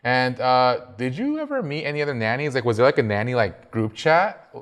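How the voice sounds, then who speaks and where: A man's voice: mid-range voice, big pitch swings, fairly quick, fairly bright tone. A man co-hosting a casual chat, laid-back, with slang and swearing. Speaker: co-host.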